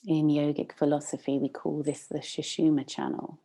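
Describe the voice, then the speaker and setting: A woman meditation teacher leading a guided practice, instructing gently and unhurriedly with long pauses. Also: sits low, flat delivery.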